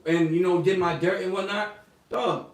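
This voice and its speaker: A man in his forties talks with a high voice, animated and profane as he tells his stories. He sits well back from the mic in an echoey room. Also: moderate pace, recorded from a distance. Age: 40s. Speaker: podcast guest. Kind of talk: casual chat.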